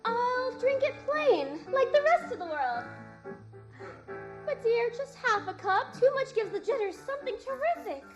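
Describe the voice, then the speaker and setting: A woman's voice: very high-pitched, big pitch swings, unhurried, over music, heavily acted. A woman playing a prim, sweet lady with dainty old-fashioned manners in a 1930s radio advert. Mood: prim, agreeable. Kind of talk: 1930s radio commercial acting.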